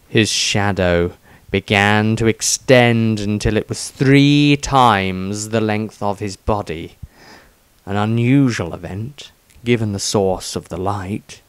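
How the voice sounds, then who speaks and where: A man reading a fantasy story as a dramatic audiobook, with deliberate, suspenseful phrasing. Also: fairly low pitch, no room sound. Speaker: author reading own story.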